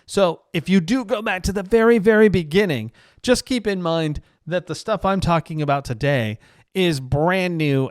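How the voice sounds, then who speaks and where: A man presents a review in a casual, off-the-cuff style. His voice is clean and smooth, recorded dry with hardly any room sound. He speaks informally and with gushing enthusiasm, like a host sharing favourites. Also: fairly high pitch, no echo. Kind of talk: casual solo review talk.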